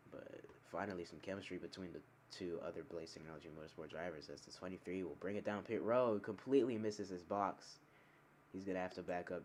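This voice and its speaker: A man giving play-by-play commentary on an online sim racing event, calling the on-track action quickly and correcting himself as he goes. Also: low-pitched, a little hiss or hum. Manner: rapid calls of on-track action, talking himself through corrections. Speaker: race commentator.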